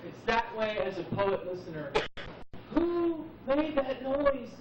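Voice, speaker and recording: A man in his forties performs a reflective spoken-word piece at a live open mic, letting pauses hang. He is heard from a distance in a roomy space, on a muffled old tape. His delivery is unhurried and thoughtful. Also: very high voice, very animated. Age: 40s. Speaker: poet speaking at an open mic. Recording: muffled noisy VHS recording, echoey, distant.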